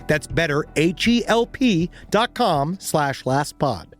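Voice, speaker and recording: A podcast host reading sponsor ads in a playful, jokey manner with silly tangents. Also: male voice, fairly high pitch, animated, slow, faint music behind. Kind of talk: playful ad read.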